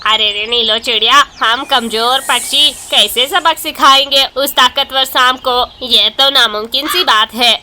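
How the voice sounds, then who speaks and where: Loyal, fretful crow for kids' cartoon, highish and very bright; female performer. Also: measured pace, few pauses, a little hiss or hum, exaggerated voice. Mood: worried. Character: anxious but loyal male crow.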